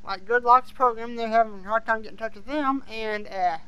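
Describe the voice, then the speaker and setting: A podcast co-host chatting casually as a fan, speaking in a halting way with hesitations that trail off. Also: mid-range voice, fairly bright tone, smooth, no echo, muffled recording.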